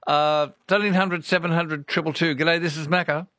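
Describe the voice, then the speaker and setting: A man's voice: a little high, fairly even intonation, moderate pace, bright, forceful tone, no room sound. A man with an Australian accent hosting a radio program, chatting casually and reading out listener messages. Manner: chatty, rambling, reads listener messages aloud. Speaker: radio host.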